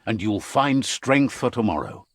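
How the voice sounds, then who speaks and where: An actor playing a whimsical, wise storyteller who narrates an animal fable in a video game with theatrical, philosophical delivery. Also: male voice, on the low side, big pitch swings, unhurried. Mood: wise, reflective.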